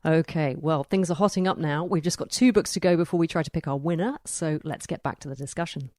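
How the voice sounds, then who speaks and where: A woman's voice: sits low, fast talker, darkish tone, no echo. A woman hosting a podcast, talking through and introducing books in a discussion. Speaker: book club podcast host.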